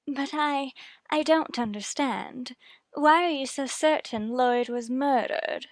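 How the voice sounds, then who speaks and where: Earnest, high-voiced radio mystery heroine. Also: female voice, takes it slowly, dry recording. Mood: distraught, defensive. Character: women in a murder mystery, earnest and emotional. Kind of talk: old-time radio drama acting.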